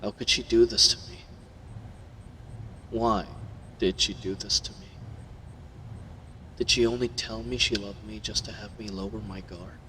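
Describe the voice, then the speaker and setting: A man voicing a captive young werewolf hero, gruff, defiant and wounded, in an audio roleplay. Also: on the low side, fairly even intonation, a little slow, long pauses, sharp tone, long reverb tail, a little hiss or hum, rough recording. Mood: hurt, brooding.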